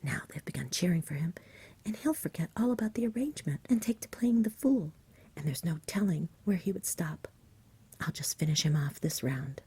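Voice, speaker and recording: A woman voicing a practical, mildly exasperated knight from a storybook in a breathy, matter-of-fact whisper. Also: fairly low pitch, lively intonation, normal speed, warm tone. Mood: firm, exasperated. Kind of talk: whispered storybook character reading.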